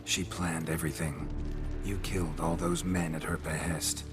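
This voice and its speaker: An actor playing a gruff, cynical monster hunter in a game, terse and deadpan, with a gravelly voice. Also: male voice, a bass voice, normal speed, over music. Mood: blunt accusation. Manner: terse, deadpan, clipped sentences. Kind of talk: video game cutscene acting.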